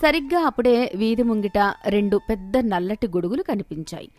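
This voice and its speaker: A woman's voice: medium pitch, expressive, fairly quick, darkish tone, dry recording, soft background music. A woman reading a scripted moral story as its narrator.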